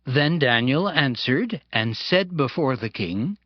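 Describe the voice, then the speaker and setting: Slow, melodic male scripture narrator. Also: mid-range voice, lively intonation, a little hiss or hum. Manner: solemn, deliberate. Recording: dull lo-fi audio with music.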